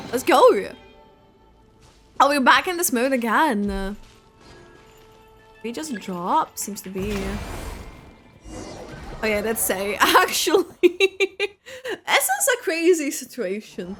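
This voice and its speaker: A woman giving casual reaction commentary as she plays a game, musing haltingly. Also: on the high side, very animated, a bit bright. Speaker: gaming streamer reacting to a game. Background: game music.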